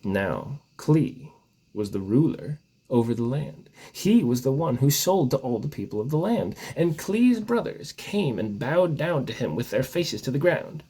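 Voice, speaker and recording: A man reads a comic story as if it were scripture. His voice is husky and mid-pitched, and it moves up and down a great deal. The delivery is theatrical and mock-solemn. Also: big pitch swings, measured pace, dark tone. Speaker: story reader. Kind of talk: reading a mock-biblical comic tale aloud.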